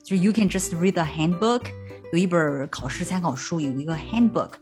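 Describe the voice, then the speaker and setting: A woman's voice: lowish voice, expressive, a little fast, darkish tone, faint music behind. A young woman from China hosting a language podcast, switching between Chinese and English with a Chinese accent, friendly and full of mm-hmms. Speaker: host. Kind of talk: bilingual language-learning podcast.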